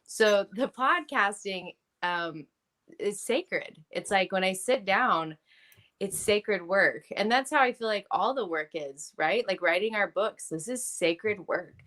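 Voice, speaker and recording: Upbeat earnest woman guest, mid-pitched, laughs a lot, talking about her publishing work. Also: slow. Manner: energetic, earnest, laughs often. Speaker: podcast guest, publisher and coach. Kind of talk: casual interview chat.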